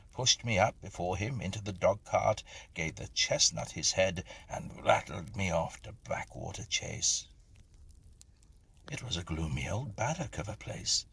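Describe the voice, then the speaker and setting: Deep husky man reading a ghost story. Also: fairly bright tone. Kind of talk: scripted dramatic narration of a Victorian ghost story.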